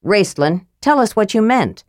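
A woman's voice: mid-range voice, very animated, measured pace, no echo, clean studio sound, pitched above the performer's own voice. A woman voicing a serious male half-elf leader in an audiobook, direct and probing. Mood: pressing. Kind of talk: audiobook character voice.

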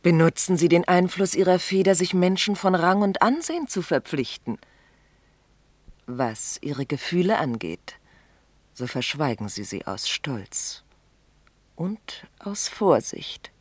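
Imperious society wife, calculating, measured delivery, dry close studio sound. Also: female voice, fairly low pitch, animated, dry recording. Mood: cool, seductive. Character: haughty, calculating upper-class married lady. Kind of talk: German radio play acting.

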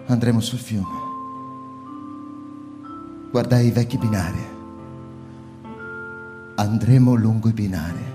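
An actor playing a shaken male survivor of a city bombing, who narrates a radio drama and either cries out his memories urgently or speaks in a hushed, slow voice. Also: lowish voice. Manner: urgent, near-shouted remembering, then hushed and slow. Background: music.

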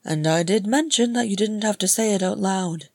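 A woman's voice: medium pitch, moderate pace, darkish tone, no room sound, clean studio sound, voice pushed up, close to an everyday voice. A woman voicing a prim, flustered, stubborn male hobbit in a story, with a reserved, fussy manner. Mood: grudging, flustered.

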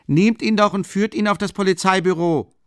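A man doing a shrill, insistent townsman. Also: normal speed, clear, resonant tone, no room sound, clean studio sound, natural voice.

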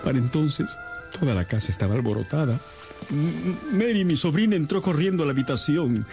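A troubled, earnest gentleman of middle age in a radio drama. His voice is soft-edged and mellow, with agitation running through it, and music plays faintly underneath. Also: fairly high pitch, expressive, brisk, gravelly. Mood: anguished narrating. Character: distressed respectable older father, a Victorian gentleman. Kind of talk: Spanish-language radio drama acting.

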